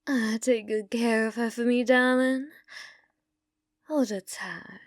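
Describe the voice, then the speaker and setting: Casting: actress as flirty female bounty hunter for western ASMR roleplay. Higher female voice, unhurried, coaxing and dominant. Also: steady tone, no echo, studio quality. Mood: soft, teasing. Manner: coaxing, drawling endearments, drops into breathy whispers. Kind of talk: ASMR roleplay, scripted character acting.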